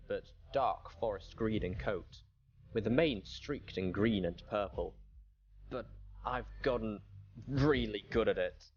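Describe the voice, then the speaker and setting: A man's voice: lowish voice, highs cut off, a little hiss or hum. A man reading a story aloud as a dramatic narrator. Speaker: audiobook reader.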